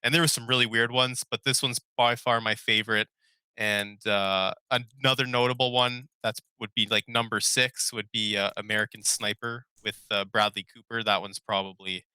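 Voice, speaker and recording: A man's voice: fairly low pitch, steady tone, moderate pace, sharp tone, clear, resonant tone, dry recording. A young man co-hosting a casual podcast chat, talking loosely and informally and trailing off as he searches for words. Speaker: podcast co-host. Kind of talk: casual chat about favorite movies.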